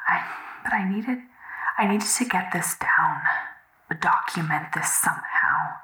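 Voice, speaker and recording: Troubled young woman confiding in a secret diary; slow, hesitant, whispery, roomy sound, found-footage drama. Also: fairly low pitch. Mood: secretive, anxious. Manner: hushed, often whispering, hesitant. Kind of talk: intimate confessional audio drama monologue.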